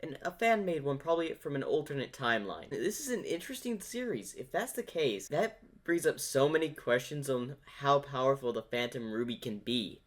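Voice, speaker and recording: A man's voice: mid-range voice, big pitch swings, normal speed, few pauses. A man giving casual commentary on a fan comic dub, thinking out theories in a rambling, speculative way. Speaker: reaction video host.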